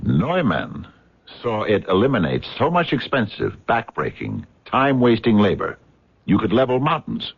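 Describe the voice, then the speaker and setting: A defiant American scientist, low and husky, sardonic and challenging. His dramatic delivery swings widely in pitch, on a dull old radio drama recording. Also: big pitch swings, normal speed, exaggerated voice. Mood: measured, accusing. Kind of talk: 1970s radio drama acting.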